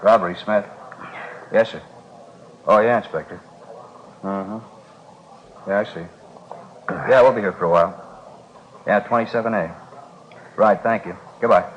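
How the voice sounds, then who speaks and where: A man playing a calm male police detective with a low, steady voice and a laconic, businesslike way of talking. He is heard in a 1950s radio drama on a dull, slightly noisy recording. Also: a little slow, pauses often, close to an everyday voice.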